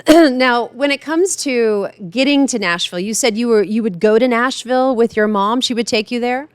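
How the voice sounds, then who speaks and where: Smooth-voiced middle-aged woman interviewer. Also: on the high side, normal speed, no echo. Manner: encouraging, complimentary. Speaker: TV news interviewer. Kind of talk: friendly interview questions.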